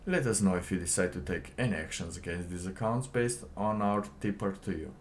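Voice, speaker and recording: A man giving casual livestream commentary and reading documents aloud, halting and rambling, often pausing mid-sentence. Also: deep voice, a little hiss or hum. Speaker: livestream host.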